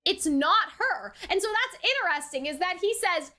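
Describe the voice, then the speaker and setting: A very high-voiced woman gives unscripted, casual commentary as a reaction host. She reasons aloud in a rambling, conversational way, often tagging her points with little check-in questions. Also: animated, normal speed, bright, forceful tone. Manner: rambling, theorising aloud, frequently checks with "right?" and "you know".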